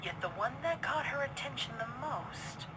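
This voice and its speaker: A woman's voice: sits high, expressive, moderate pace. Female narrator, dramatic scripted horror storytelling, very noisy background. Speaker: fan fiction narrator.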